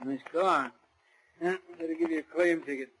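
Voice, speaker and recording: An actor playing a shifty, folksy garage mechanic in a 1940s radio crime drama, speaking informally and hesitantly. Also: male voice, on the high side, normal speed, vocal fry, fairly bright tone, slight background noise, low-quality recording. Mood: casual. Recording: vintage 1940s radio recording.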